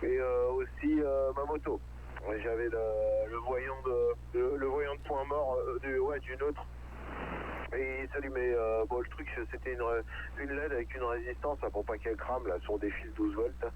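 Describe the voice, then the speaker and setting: A man's voice: medium pitch, steady tone, normal speed. A man chatting casually as a hobby radio operator, rambling in a colloquial way. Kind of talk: casual chat on amateur radio. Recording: narrow tinny radio link, faint noise.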